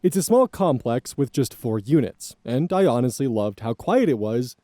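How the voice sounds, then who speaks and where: A man reading online stories aloud as host, adding blunt, profane commentary in a casual, animated way. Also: medium pitch, normal speed, no room sound.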